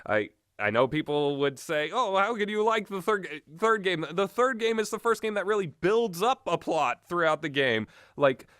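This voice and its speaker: A man's voice: high voice, lively intonation, moderate pace, hardly any pauses, a bit bright, clean tone, dry recording, clean studio sound. A man giving casual, unscripted game commentary, opinionated and mildly profane, often backtracking on himself as he goes. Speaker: video game reviewer.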